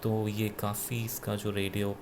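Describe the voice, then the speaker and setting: A man with an Indian accent giving an unscripted gadget review in a halting, self-correcting way, switching between two languages. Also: fairly low pitch, monotone, noisy background. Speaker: product reviewer. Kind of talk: unscripted gadget review, mixing Hindi with English.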